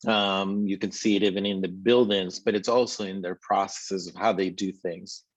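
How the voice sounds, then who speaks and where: A man's voice: low voice, little pitch movement, moderate pace, no echo, rough recording. A middle-aged man from Spain with a Spanish accent, presenting a virtual slideshow tour as a company chief, with many fillers and restarts.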